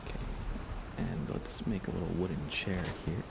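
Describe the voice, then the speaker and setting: Soft-spoken male ASMR game streamer, deep and crackly, murmuring unhurriedly close to the mic over audible background noise. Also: vocal fry. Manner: gentle, unhurried, thinking aloud. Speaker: live streamer, host.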